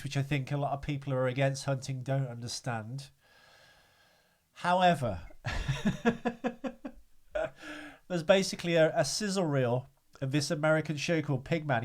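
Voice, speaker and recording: A man hosting a podcast in casual chat, telling things in a rambling, wryly understated way. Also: medium pitch. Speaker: podcast host.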